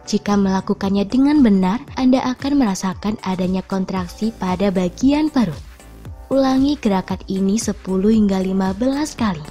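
A woman reading scripted instructional narration in a measured, clearly enunciated way. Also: medium pitch, mellow. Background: background music.

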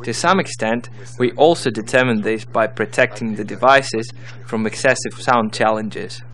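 Male tutorial narrator, mid-pitched, reading scripted instructional material. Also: normal speed, fairly bright tone.

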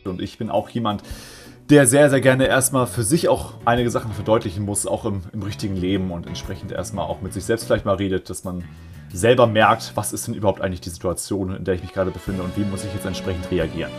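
A man with a calm voice talking informally and explaining his work as a narrator. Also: lowish voice, fast. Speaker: fan dub narrator and video creator. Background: background music.

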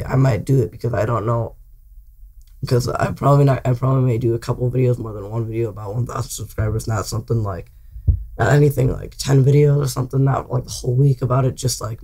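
A man's voice: mid-range voice, warm tone. Young guy losing his voice. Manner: rambling, many restarts and fillers. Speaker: channel host. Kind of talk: casual vlog talk to camera. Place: small cluttered bedroom, indoors. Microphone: desk mic in front of him.

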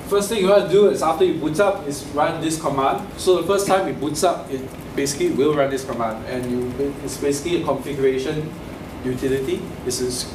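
A man in his forties giving a casual hands-on tech talk at a meetup, explaining step by step and restarting phrases as he goes. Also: fairly high pitch. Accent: Singaporean English. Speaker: meetup speaker. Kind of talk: live tech talk with slides. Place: meeting room with projector. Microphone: room camera mic from a distance. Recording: echoey room, distant mic, noisy.

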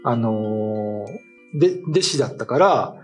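Animated middle-aged man telling a tale. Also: medium pitch, takes it slowly, breathy edge. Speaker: writer and show regular telling ghost stories. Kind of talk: casual storytelling chat. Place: small meeting room, indoors. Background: faint music.

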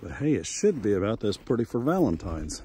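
A man's voice: fairly low pitch, very animated, a little fast, warm tone, slight background noise. A middle-aged man giving a casual walking tour of his farm, chatty and folksy, filmed outdoors on a handheld phone. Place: outdoors on a snowy farm and woods.

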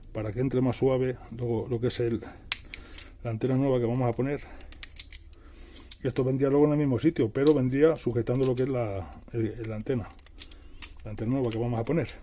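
A man's voice: mid-range voice, warm tone. A middle-aged man explaining a hands-on task casually, repeating and rephrasing himself as he works. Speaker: DIY tutorial host. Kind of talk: casual hands-on tutorial narration.

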